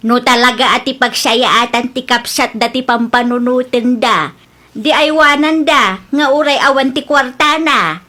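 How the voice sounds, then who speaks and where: An actress in a radio drama playing a concerned, gossipy neighbor who talks in a confiding way. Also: female voice, a little high, a little slow, bright, forceful tone.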